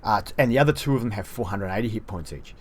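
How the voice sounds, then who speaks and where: A man's voice: lowish voice, lively intonation, fast. A man giving a casual review of a game tank, running through stats in a chatty, self-deprecating way.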